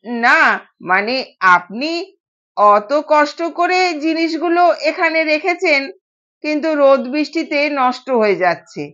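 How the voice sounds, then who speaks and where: Young new bride, played by a female storyteller, dutiful and polite, high unhurried voice, slightly lo-fi. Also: lively intonation, fairly bright tone. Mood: persuasive. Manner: polite, soothing. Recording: dull, lo-fi sound.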